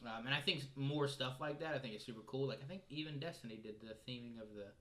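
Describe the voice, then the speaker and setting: A man with an average-pitched voice takes part in a relaxed gaming discussion. His talk is informal and full of slang, like casual conversation among friends. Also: fast talker, a little hiss or hum. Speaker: gaming talk show panelist. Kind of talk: casual chat.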